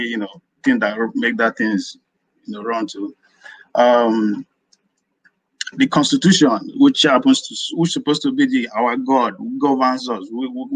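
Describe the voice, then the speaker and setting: A man's voice: medium pitch, a bit bright, no room sound, rough recording. A Nigerian man calling in to a live audio discussion, arguing in a rambling way that often repeats words. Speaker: caller.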